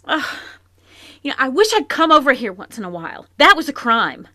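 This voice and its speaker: An actress playing a remorseful, plainspoken farm wife in a radio play, speaking earnestly and often sinking to a hushed whisper, recorded in a home closet. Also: female voice, high voice, sing-song, a little fast, no echo, clean studio sound, heavily acted. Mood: anguished. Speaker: Mrs. Hale, farm wife. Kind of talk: live radio play acting.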